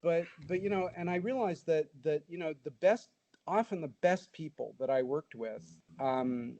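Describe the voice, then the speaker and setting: A man in his sixties talks with a fairly high voice, rambling and halting and circling back through his thoughts. The sound is close and dry, with no room around it. He speaks as a guest in a relaxed panel chat. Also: no echo. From Southeast Asia. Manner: rambling, halting, many fillers and restarts. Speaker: guest, former intelligence analyst. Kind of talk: casual panel discussion.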